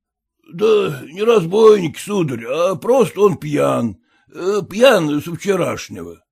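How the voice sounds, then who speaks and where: A man's voice: high voice, very animated, slow pace, creaky, a little mellow, breathy edge, voice pushed up, heavily acted. A man voicing a placid, conciliatory village cart driver in a storybook, with a soothing, folksy, hesitant drawl. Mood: placating.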